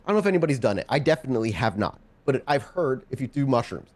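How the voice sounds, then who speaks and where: A man working as game master, narrating a tabletop game off the cuff and often pausing to search for words. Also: medium pitch, expressive, darkish tone.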